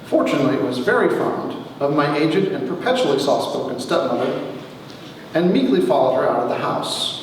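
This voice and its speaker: A man giving a wry dramatic reading of his own humorous story to an audience, with theatrical pauses. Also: fairly high pitch. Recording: echoey hall from far off, faint noise.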